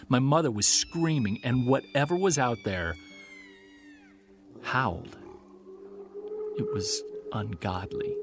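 An actor playing a haunted grown man recalling a childhood monster encounter in a halting, intense audio drama monologue. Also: mid-range voice. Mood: grave, haunted. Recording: music under the voice.

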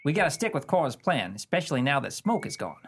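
An actor plays a cold-blooded pulp crook from a 1930s radio play. The voice is husky and swings freely in pitch. The talk is tough, slangy and scheming. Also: sing-song, fast. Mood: scheming, bossy. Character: scheming gangster Skeeters, cold, cynical crook.

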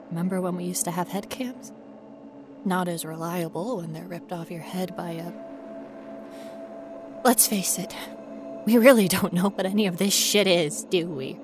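A woman's voice: fairly low pitch, a little slow, long pauses, over music, natural voice. A woman playing a frightened, wry young ghost researcher in an audio horror monologue, rambling nervously to herself. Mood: reflective, uneasy. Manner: rambling nervous self-talk, sardonic, often dropping to whispers.

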